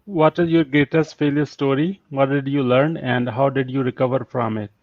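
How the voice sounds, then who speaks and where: A man's voice: medium pitch, fairly even intonation, normal speed, no echo, phone-quality sound. A man hosting an interview, asking brief, direct questions. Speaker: interview host.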